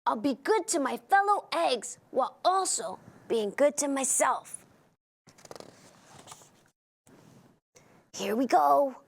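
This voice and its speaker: An earnest, worrying egg from a children's storybook, acted out by a woman. The voice is high and very animated, with slow, deliberate phrasing. It sounds eager to please and expressive, with some creak at the edges. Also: full character voice. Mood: hopeful. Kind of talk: scripted children's read-aloud.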